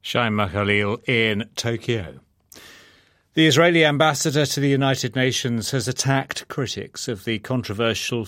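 A man presenting the news, reading scripted items in a measured, formal way and questioning correspondents. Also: mid-range voice, fairly bright tone, no echo, clean studio sound.

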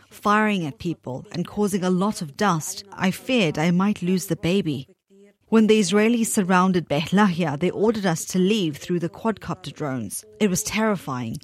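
A woman giving a quiet, sombre account of her experience in a recorded voice message. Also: mid-range voice. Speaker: civilian giving testimony.

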